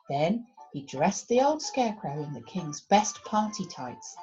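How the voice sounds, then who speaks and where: A woman reads a children's story in an expressive storytelling style. Her pitch is very lively and her pace unhurried. The recording is a little dull, with music behind her. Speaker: primary school story reader.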